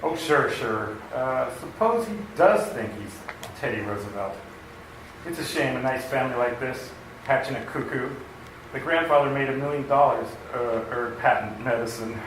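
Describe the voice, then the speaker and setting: A man's voice: medium pitch, normal speed, fairly bright tone, distant mic, lightly acted. A man playing a friendly, plainspoken neighborhood police officer in a radio comedy, folksy and matter-of-fact. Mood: rueful. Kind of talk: old-time radio comedy acting. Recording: echoey hall from afar, faint noise.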